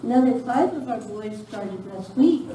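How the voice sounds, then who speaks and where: A woman's voice: a little high, lively intonation, normal speed, natural voice. Lady doing cold bandit woman in a radio western, noisy recording, far from mic. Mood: businesslike, scheming. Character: cold female Mexican bandit informant. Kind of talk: amateur live radio western play. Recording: noisy, echoey room, distant miking.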